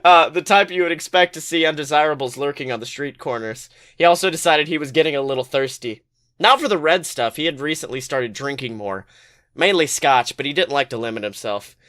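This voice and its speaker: A man podcast host reading fan fiction aloud as expressive, colloquial story narration. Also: fairly high pitch.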